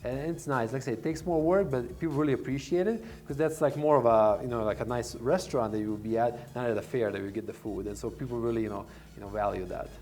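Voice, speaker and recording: Fast-talking man from Serbia. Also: mid-range voice, mellow. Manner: rambling, many fillers and restarts. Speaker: restaurant owner. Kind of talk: casual interview answers.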